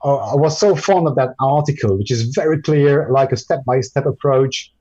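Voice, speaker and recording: A man's voice: medium pitch, normal speed, dark tone, breathy edge, highs cut off. A man whose first language is French, presenting a live screen-share tutorial over a video call, with many ums and restarts. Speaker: guest presenter.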